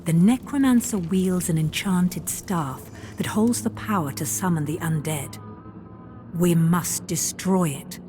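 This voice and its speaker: A woman playing a grave storyteller in a fantasy game, narrating dramatically and deliberately over loud music. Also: on the low side, lightly acted. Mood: ominous. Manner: solemn, theatrical, deliberate phrasing. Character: grave storyteller guiding heroes through a fantasy quest.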